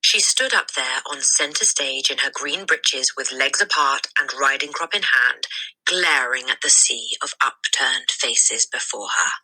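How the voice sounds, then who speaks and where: A woman reading a children's story aloud as a teacher, in expressive scripted narration. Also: rumbling low, unhurried, breathy edge, low-quality recording.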